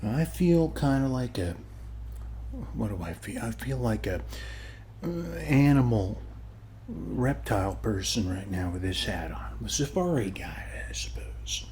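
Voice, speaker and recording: A man playing a friendly, rambling neighbor in a soft ASMR roleplay, speaking haltingly and often dropping to a whisper. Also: lowish voice, lively intonation, a little hiss or hum. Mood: rambling, easygoing. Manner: halting, rambling, reassuring, often ending phrases with "okay". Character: friendly rambling male neighbor at a lake party. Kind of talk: ASMR roleplay, soft-spoken one-sided conversation.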